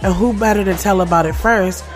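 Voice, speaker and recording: A woman's voice: mid-range voice, a little fast, over music, natural voice. A woman playing a heartfelt, lovelorn thirty-year-old who narrates her own story in a conversational, confiding way for an audio drama. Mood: reflective, proud. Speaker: lead actress and narrator.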